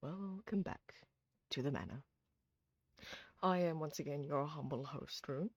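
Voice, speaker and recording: A tired, hoarse streamer who chats casually with viewers while replaying a horror visual novel, rambling with lots of ums and restarts. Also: medium pitch, measured pace, dry recording, studio quality. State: hoarse, sleepy. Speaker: streamer host.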